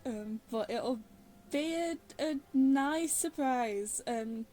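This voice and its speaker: Casting: woman game streamer, mid-pitched soft dark tone, slow, rambling, casual commentary. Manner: hesitant, rambling, giggly. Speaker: gaming streamer.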